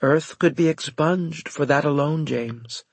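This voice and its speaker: Man voicing a solemn, resigned woman, pitched up. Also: unhurried, husky, no echo. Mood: grave, urgent. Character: solemn, resigned alien woman refusing rescue. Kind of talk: audiobook character dialogue.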